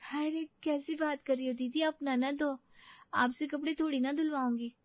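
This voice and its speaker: A woman's voice: high voice, fairly even intonation, normal speed, no room sound, dull audio, lightly acted. A woman playing a timid, grateful young orphan bride in an audio story, hesitant and deferential. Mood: earnest.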